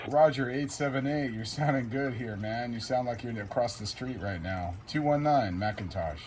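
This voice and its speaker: A man's voice: mid-range voice, moderate pace. A man chatting casually as a CB radio operator, informal and laid-back. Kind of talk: casual radio contact chat. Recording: very noisy CB radio setting, static.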